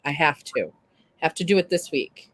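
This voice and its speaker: A woman's voice: low voice, animated, normal speed, no echo. A woman joining a live online chat, light and agreeable. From Irish and Scottish ancestry. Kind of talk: casual group chat.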